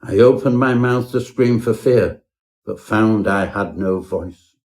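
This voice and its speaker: Man performing a low-voiced pompous Edwardian gentleman storyteller. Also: takes it slowly. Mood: haunted dread. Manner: pompous, florid, melodramatic. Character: pompous Edwardian professor narrating his own horror tale. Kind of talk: scripted parody Victorian ghost story narration.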